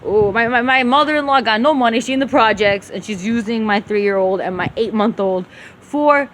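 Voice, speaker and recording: A woman's voice: on the high side, moderate pace, a bit bright, slight background noise. A woman with a rural Levantine Arabic accent in her Arabic, talking to herself in an emotional, profane, rambling monologue that jumps between Arabic and English. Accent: rural Levantine Arabic when speaking Arabic.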